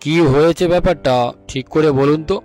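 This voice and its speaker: A man voicing a cartoon detective officer who questions and gives orders with calm authority. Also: fairly high pitch, brisk, soft background music, natural voice. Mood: calm, probing. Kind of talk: cartoon voice acting in Bengali.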